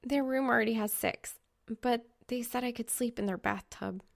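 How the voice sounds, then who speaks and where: A woman voicing a fiery, excitable young woman gamer in an audiobook, speaking in a dramatic, flustered, slangy way. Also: on the high side, moderate pace, gravelly, pitched above the performer's own voice. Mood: exasperated. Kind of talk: audiobook character dialogue.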